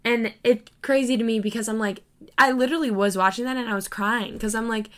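A young woman co-hosting a faith podcast, tired from little sleep, telling stories in a chatty, animated way. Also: a little high. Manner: chatty, animated storytelling, quick laughs. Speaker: podcast co-host. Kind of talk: casual faith chat.

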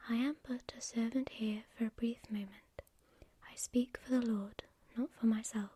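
A woman voicing a calm, commanding male angel messenger in a breathy whisper, with a measured, preaching delivery. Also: a little high. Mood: solemn. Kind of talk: whispered storytelling.

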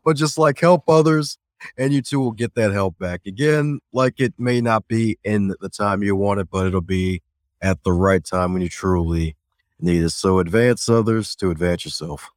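A man's voice: on the low side, normal speed, no room sound, studio quality. A man from the United States, a self-leadership coach guesting on a podcast, upbeat and a little preachy, chuckling at his own jokes. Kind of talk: casual podcast conversation.

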